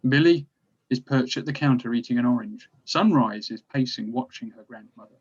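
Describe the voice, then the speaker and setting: A man's voice: mid-range voice, normal speed, a little mellow, slightly rough, muffled recording. A man narrating stage directions from a script at a drama table read.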